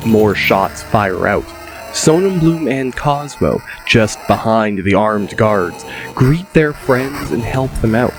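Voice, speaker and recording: Slow male audiobook narrator over music. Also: lowish voice, lively intonation, poor audio. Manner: deliberate, descriptive. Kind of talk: dramatic scripted audiobook narration.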